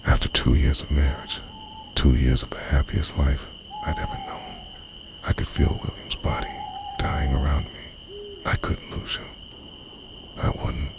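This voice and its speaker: An extremely deep, breathy man acting as an ancient obsessive lover murmurs in an intimate ASMR roleplay. The delivery slips between voice and whisper with hugely varied intonation, tender yet possessive. Loud night ambience of crickets and owls sits behind the voice. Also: huge pitch swings, measured pace, pauses often, heavily acted. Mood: possessive, intense. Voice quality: breathy, half-whispered, very low. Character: obsessive centuries-old body-stealing male lover, tender and possessive. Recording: noisy, owls and insects behind.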